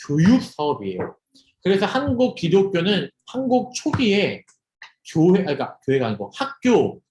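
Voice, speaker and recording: A young man preaching to a youth group over a video call, with a high voice that climbs and dips freely. He keeps quizzing his listeners and joking with them. The room around him rings with echo. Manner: lively, asks students questions and jokes with them.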